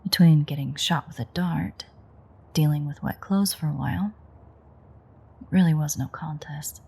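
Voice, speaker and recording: A playful, dangerous vampire woman, performed by an actress murmurs in an intimate audio drama. Her voice is dark and very husky, with a gravelly creak. She teases wryly, often dropping close to a whisper. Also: low voice, normal speed, heavily acted. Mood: dry, teasing. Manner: teasing, wry, murmured. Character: teasing, playful ancient female vampire, flirtatiously menacing.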